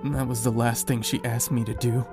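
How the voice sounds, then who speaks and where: A man's voice: medium pitch, level tone, moderate pace, music in the background, lightly acted. A man playing an anguished, foul-mouthed survivor of a zombie apocalypse in a horror audio drama. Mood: grieving, angry. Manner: grim, profane, anguished.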